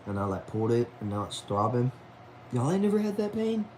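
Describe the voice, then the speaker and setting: A man's voice: medium pitch, very animated, a little fast, a little mellow, a little hiss or hum. A man of about twenty chatting casually on a livestream at home, reading viewer messages aloud in a laid-back, talkative way, dropping "y'all" and "man". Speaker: livestream host.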